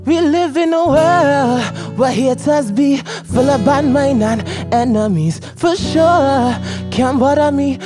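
A man's voice: very high-pitched, slow, hardly any pauses. Young Barbadian man singing over guitar. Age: young adult. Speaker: talent show contestant. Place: studio stage. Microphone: stand mic. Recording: acoustic guitar behind the singing.

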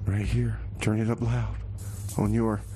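A man's voice: on the low side, flat delivery, a little slow. Folksy rambling male radio host, noisy background. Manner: rambling, folksy, repeats phrases. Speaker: community radio show host. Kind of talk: casual comedic radio DJ patter.

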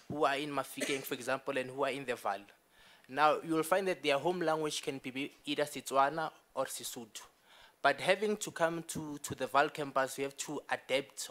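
A man's voice: a little high, a bit bright. Earnest young man arguing at a debate. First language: Zulu. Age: young adult. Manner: earnest, gesturing while arguing. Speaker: student panelist. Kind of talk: public debate answer. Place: university meeting room. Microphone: desk microphone.

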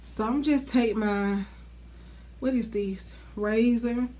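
A young woman talks to her camera in a small dark room, her voice stuffy and congested. She drawls slowly and bluntly, swearing freely as she chats to her viewers. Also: fairly high pitch. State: stuffy, congested from a sinus infection. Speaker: beauty vlogger. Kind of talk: casual wig review to camera.

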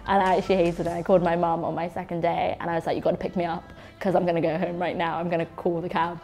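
Young female student, informal interview, lowish even voice, music underneath. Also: very fast. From Uganda, living in London. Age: early 20s. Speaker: university student. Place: bright room with large windows. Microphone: lapel mic. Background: background music.

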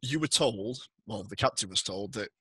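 A man with a husky voice narrating a tabletop roleplaying game as game master, in a halting, hesitant way. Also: lowish voice, expressive, bright, forceful tone, no echo. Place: indoors, on a group video call.

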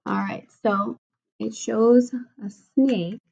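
A woman's voice: a little high, steady tone, takes it slowly, vocal fry, darkish tone, dull audio, a little hiss or hum. A woman in her thirties reads a picture book aloud to a young child, stopping to explain each picture patiently in simple words. She sits at a home kitchen table, and a webcam a little way off picks up her voice. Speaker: speech therapist reading to a child. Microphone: laptop or webcam at table distance.

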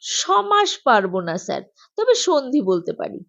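Woman voicing an eager, high-pitched cheeky schoolboy. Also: sing-song, normal speed, vocal fry, muffled recording, exaggerated voice. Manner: eager, impudent.

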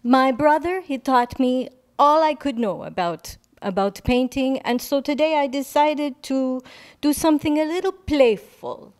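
A bubbly painter, sister of a famous master, chatters in a put-on Italian-French accent, as a woman plays her. Her high voice skips through halting, broken English. She stays cheerful and a little mischievous. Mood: chatty. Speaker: guest artist. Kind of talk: improvised comedy interview.

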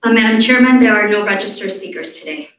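A woman with a fairly high voice calls the roll politely and precisely as meeting secretary. She is heard from a distance in a room with strong echo. Faint noise sits behind her. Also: steady tone, quick pace, a bit bright. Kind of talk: formal meeting procedure. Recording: echoey room from far off.

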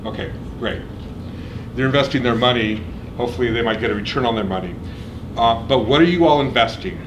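Middle-aged man, emphatic orientation speaker, mid pitch, noisy lecture room. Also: a little fast. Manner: energetic, emphatic, checks in with okay and right. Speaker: program administrator. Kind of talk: orientation speech.

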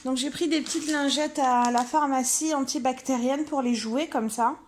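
A woman talks casually to the camera. She is chatty and informal, thinking aloud as she goes. The recording has clear noise behind her. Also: high voice, steady tone, normal speed. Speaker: vlogger. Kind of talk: casual vlog chat, showing purchases. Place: car interior, later a room at home.

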